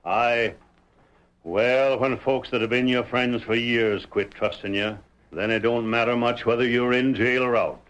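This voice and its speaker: An actor playing a proud, stubborn old cattle rancher in an old-time radio Western, plain-spoken and folksy, with an American Western drawl. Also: male voice, mid-range voice, steady tone, a little slow, fairly bright tone, close to an everyday voice. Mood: hurt. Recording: dull, noisy vintage radio recording.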